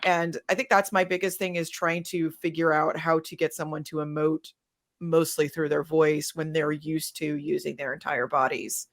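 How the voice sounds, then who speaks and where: Woman on a panel, slightly low voice, chatty, tells stories and quotes people as she goes. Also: level tone, moderate pace. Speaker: panelist, audio drama creator. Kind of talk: casual panel talk.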